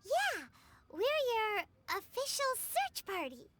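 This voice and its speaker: A woman's voice: very high-pitched, huge pitch swings, slow, background noise, exaggerated voice. A woman voicing a tiny, childlike fairy companion in a video game, eager, blunt and chirpy. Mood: chatty, curious. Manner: refers to self in third person, perky.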